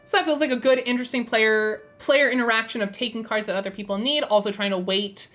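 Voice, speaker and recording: A woman's voice: a little high, steady tone, fast talker, fairly bright tone, faint music behind. A young woman hosting a casual live stream, chatty and enthusiastic, her voice a little strained from being sick. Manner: chatty, enthusiastic, thinks aloud with fillers. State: slightly strained, losing her voice. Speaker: streamer and host.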